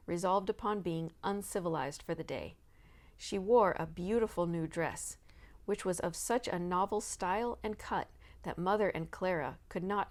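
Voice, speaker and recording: A woman narrating a children's novel aloud, acting out the dialogue expressively. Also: lowish voice. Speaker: storybook reader.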